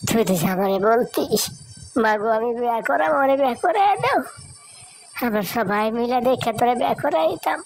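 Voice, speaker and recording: Casting: woman as wronged village mother of a grown son, Bangladeshi accent, high voice, plaintive scolding, cartoon melodrama over music. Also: sing-song, a little fast, rough recording. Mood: anguished reproach. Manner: plaintive, repeating phrases in reproach. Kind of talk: melodramatic Bengali moral cartoon acting.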